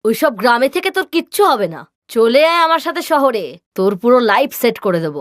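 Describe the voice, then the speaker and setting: A high-pitched young cartoon big sister, acted by a woman, brash and vain. Her pitch jumps around as she bosses and brushes people off in slangy speech, in a dry, close recording. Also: very animated, fairly quick, no room sound. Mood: scornful, boastful. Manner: dismissive, bossy, slangy with English words. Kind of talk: cartoon character dialogue.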